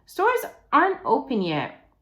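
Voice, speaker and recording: A woman's voice: high-pitched, huge pitch swings, measured pace, a bit bright, voice pushed up, exaggerated voice. Woman playing stubborn, terse storybook boy. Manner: terse, flippant. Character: stubborn, glum young boy with wiseacre answers.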